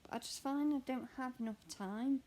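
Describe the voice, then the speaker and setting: A young woman with a croaky voice, chatting candidly and rambling while she stitches at home. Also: sits high, normal speed, a little mellow. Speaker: cross stitch vlogger and mother. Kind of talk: casual chat answering a question tag while stitching.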